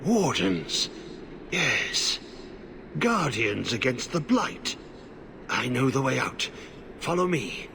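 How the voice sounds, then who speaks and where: An actor playing a half-mad, blighted old warden in a video game, muttering in halting, repetitive fragments. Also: male voice, medium pitch, big pitch swings, gravelly, noisy background, full character voice. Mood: urgent, cryptic. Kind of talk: dramatic video game acting.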